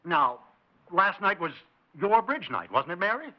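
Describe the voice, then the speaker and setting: An actor playing a glib, evasive stranger in a 1960s radio drama, halting at times and smoothly insinuating at others. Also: male voice, high voice, big pitch swings, heavily acted. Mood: smug, insistent. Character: mysterious male stranger, glib, evasive, brazenly self-assured intruder. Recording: dull 1960s radio recording.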